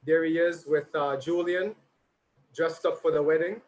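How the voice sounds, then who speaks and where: A man's voice: on the high side, steady tone, normal speed, slight background noise, rough recording. A man hosting a birthday tribute for his father, reading out messages with chatty, informal asides as his voice grows tired. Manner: informal, chatty, slight stumbles. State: tiring, slightly hoarse voice.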